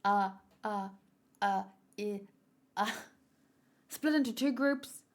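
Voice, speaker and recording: A woman's voice: medium pitch, slow, natural voice. A woman reading manga aloud as an anxious, brainy young male soldier, an earnest and unsure leader. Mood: flustered.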